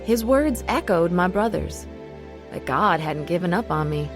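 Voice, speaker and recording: A woman's voice: lowish voice, expressive, unhurried. Troubled, confessional mother over music. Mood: reflective narration. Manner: hesitant, confessional. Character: troubled drug-using mother, lonely, wavering, confiding. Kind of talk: radio drama dialogue and first-person narration.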